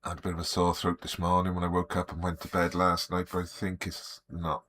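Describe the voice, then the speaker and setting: A middle-aged Welsh man with a slightly croaky, sore throat, chatting easily and greeting viewers by name while he draws on a live stream. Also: low voice, monotone, brisk, fairly bright tone. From Wales. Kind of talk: relaxed live-stream chat while drawing.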